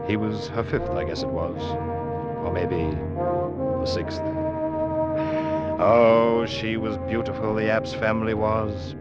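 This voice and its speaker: An actor playing an earnest young seaman who narrates his past in old radio drama style, with dramatic, deliberate pauses. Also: lowish voice, close to an everyday voice. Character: young sailor Ned, earnest third mate, recounting his past. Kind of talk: mid-20th-century radio drama acting and narration. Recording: dull vintage radio recording, loud orchestral music.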